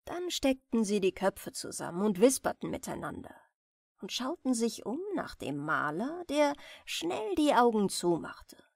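A woman softly narrating fairy tales for bedtime in an unhurried, expressive storytelling manner. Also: on the high side, creaky, no echo, clean studio sound. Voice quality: soft. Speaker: audiobook narrator. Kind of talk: gentle bedtime fairy-tale reading.

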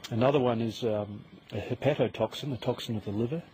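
A male academic talks in a middle-pitched voice, explaining things as an interviewed scientist would. He was recorded out in the open, with slight noise behind him. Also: fast talker, a little mellow, poor audio. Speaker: university professor and scientist. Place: outdoors on a lake shore. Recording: faint background noise outdoors.

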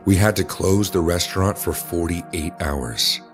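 A very low-pitched man narrates a scripted horror story in the first person. Prominent music plays behind his voice throughout. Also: measured pace. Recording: music bed under the voice. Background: dramatic background music.

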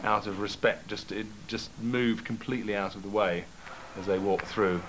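A man's voice: lowish voice, steady tone, normal speed, noisy background. A man speaking in an interview, enthusiastic and emphatic.

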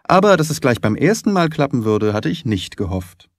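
A man voicing a brisk, analytical detective in an audiobook, articulate and emphatic, with deliberate phrasing. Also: medium pitch, very animated, dry recording, clean studio sound. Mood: pleased. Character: brisk, confident, analytical male detective, theatrical and keen. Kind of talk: audiobook character dialogue.

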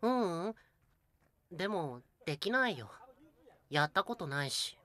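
Woman acting shy young boy, bright and lively. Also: fairly low pitch, a little slow. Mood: hesitant. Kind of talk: Japanese voice drama dialogue.

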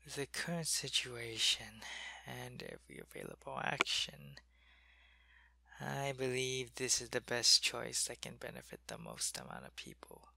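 A man voicing a sick male alien changeling soldier, loyal to his queen, who talks in a soft, weary ASMR whisper. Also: medium pitch, lively intonation, a little slow, creaky, exaggerated voice. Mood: weary, ill. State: sick and weak, in pain. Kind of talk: ASMR roleplay.

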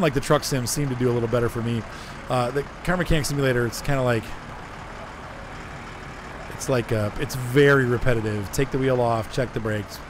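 A hoarse man chatting casually as he streams a driving game, rambling and answering his chat. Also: medium pitch. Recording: noisy game sound behind.

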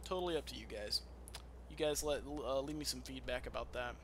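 A man's voice: medium pitch, brisk, background noise. A man giving casual, profane gameplay commentary as a let's play host. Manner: profane, animated trash-talk.

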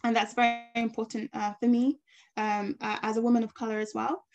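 A woman's voice: on the high side, level tone, fairly quick, a little mellow, lo-fi sound. Hesitant woman on a video call. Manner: hesitant, many ums. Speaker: guest speaker. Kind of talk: online panel talk.

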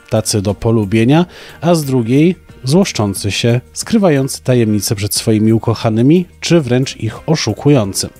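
A young man speaks as a podcast co-host, giving a prepared book review. His voice sits at an average male pitch, with music playing behind him. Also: mellow. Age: young adult. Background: background music.

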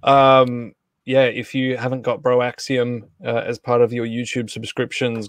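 Male live stream host, casual and conversational, mid-pitched, close dry recording. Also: dry recording. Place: indoors at home on a group video call.

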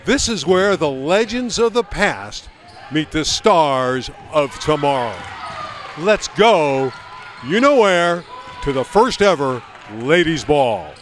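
A man calling play-by-play, opening a girls' basketball broadcast with energetic announcer delivery. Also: a little high, takes it slowly, background noise. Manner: energetic, builds to shouts. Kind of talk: sports broadcast commentary.

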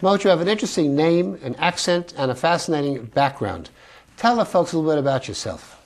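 A man's voice: fairly high pitch, lively intonation, brisk. A man hosting a local cable interview show, reading formal, scripted introductions and questions. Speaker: host.

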